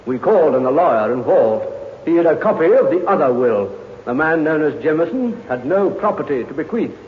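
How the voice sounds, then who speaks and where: An actor playing a dutiful, matter-of-fact police sergeant testifying formally and deferentially in a 1950s radio drama. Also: male voice, fairly high pitch, sing-song, a little fast. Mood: formal, factual. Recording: tinny echoey old radio recording.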